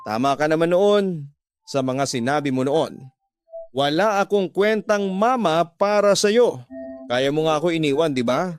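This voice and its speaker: Man acting a hurt, stern mother, raised pitch. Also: animated, unhurried, clear, resonant tone, no echo, faint music behind. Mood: hurt, reproachful. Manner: reproachful, emotional. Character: hurt, stern mother scolding her daughter. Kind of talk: Tagalog radio drama dialogue.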